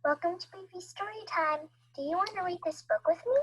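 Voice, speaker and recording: A woman's voice: very high-pitched, a little fast, a bit bright. A woman reading a rhyming children's storybook as scripted narration for young listeners. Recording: played video with music underneath. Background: music.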